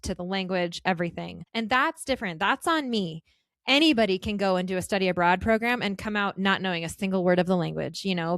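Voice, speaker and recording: A woman in her thirties from the United States, a guest chatting upbeat and quickly on a travel podcast. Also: fairly low pitch, dry recording. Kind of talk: casual interview conversation.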